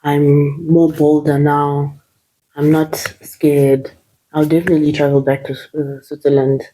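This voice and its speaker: Low, mellow-voiced woman from Zimbabwe. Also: level tone, unhurried, slight background noise, low-quality recording. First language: Shona. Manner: hesitant, many fillers and restarts. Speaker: guest. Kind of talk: interview.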